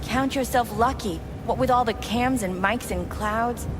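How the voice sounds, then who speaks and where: A woman's voice: fairly high pitch, a little slow, music in the background, natural voice. An actress playing a haughty, sardonic woman boss in a cyberpunk game, with a cutting, condescending way of talking. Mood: dismissive, demanding. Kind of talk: cinematic game dialogue.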